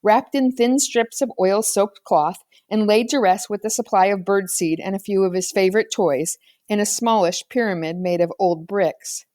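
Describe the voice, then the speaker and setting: A woman's voice: medium pitch, normal speed, darkish tone, no room sound, clean studio sound. A woman reading a children's novel aloud as scripted narration, like an audiobook reader.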